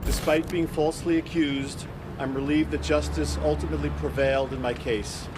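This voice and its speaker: A man's voice: a little high, fairly even intonation, moderate pace, background noise. A man delivering a prepared public statement in what sounds like an outdoor clip.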